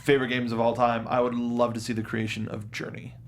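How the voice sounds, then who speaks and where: A man's voice: mid-range voice, little pitch movement, fast. Casting: man as panel show host, mid-range voice, casual stop-start delivery that doubles back on phrases, audible background noise. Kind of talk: casual panel chat about video games.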